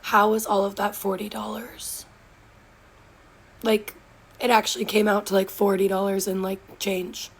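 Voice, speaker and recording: Hoarse woman, flat incredulous haul talk. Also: mid-range voice, unhurried, long pauses. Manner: incredulous, chatty. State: hoarse, lost her voice. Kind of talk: grocery haul video.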